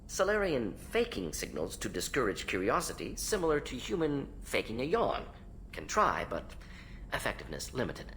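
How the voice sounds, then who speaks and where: An actor playing an analytical alien scientist in a video game, talking in clipped, clinical fragments with dropped pronouns. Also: male voice, mid-range voice, wildly swooping pitch, normal speed, fairly bright tone, slight background noise. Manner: clipped telegraphic phrases, clinical, omits pronouns. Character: fast-talking, analytical alien scientist, proud and ageing uncle. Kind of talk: video game dialogue acting.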